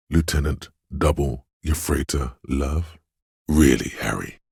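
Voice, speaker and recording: Game inner-voice narrator: rumbling bass, heavy rasp, tender yet grave, measured and theatrical delivery. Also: male voice, dry recording, clean studio sound, exaggerated voice. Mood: grave, counseling. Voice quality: gravelly, husky. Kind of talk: dramatic scripted game narration.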